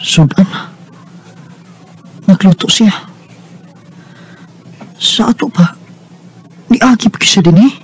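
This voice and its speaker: Higher-voiced lovesick young Visayan man. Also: slight background noise. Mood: forlorn, longing. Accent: Filipino, Visayan. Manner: earnest, halting. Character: lovesick young working man pining for his housemate. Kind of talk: Bisaya radio drama acting.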